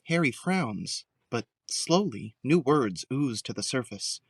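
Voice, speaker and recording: A man's voice: mid-range voice, animated, slow, breathy edge, dry recording, clean studio sound, distant mic. A man narrating an audio drama from a script in a dramatic storytelling style.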